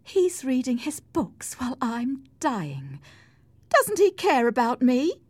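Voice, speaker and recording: A woman's voice: sits high, wildly swooping pitch, takes it slowly, a little mellow, husky, no echo, voice pushed up, exaggerated voice. A woman voicing a feverish, self-pitying and defiant young wife on her deathbed in an audiobook, with plaintive, melodramatic delivery. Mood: bitter, anguished. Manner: melodramatic, wailing. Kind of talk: audiobook character acting.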